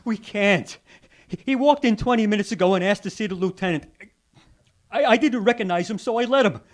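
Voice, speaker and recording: An actor playing an agitated, none-too-bright police sergeant in an old-time radio drama, with exasperated, slangy tough-cop talk. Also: male voice, high-pitched, fairly quick, lightly acted. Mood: urgent, flustered. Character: agitated, dim, gruff-talking male police sergeant.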